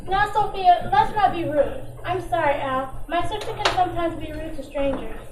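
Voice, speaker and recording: Woman acting a very high, girlish sweet blonde. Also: moderate pace. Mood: sweet, coy. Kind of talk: old-time radio drama acting. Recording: roomy, distant mic with faint noise.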